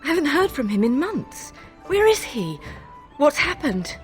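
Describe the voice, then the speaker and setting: A woman's voice: high voice, very animated, unhurried, faint music behind. A woman playing a proud, protective noblewoman in a fantasy game, imperious and formal. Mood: alarmed, demanding. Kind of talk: dramatic fantasy game acting.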